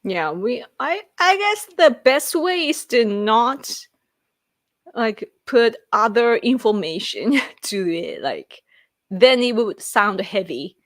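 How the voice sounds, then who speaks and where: Slow-talking Japanese woman streamer. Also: on the high side, very animated, no room sound, studio quality. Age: late 30s. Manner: chatty, laughs often between thoughts. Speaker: live streamer answering viewer questions. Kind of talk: casual chat.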